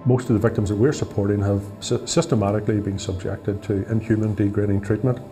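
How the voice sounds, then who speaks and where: A man in his fifties speaks in a slightly low voice, earnest and deliberate as he explains his work. He sits for an interview in an office, with soft music under him. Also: fairly even intonation. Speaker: support worker for trafficking victims. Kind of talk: documentary interview. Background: soft background music.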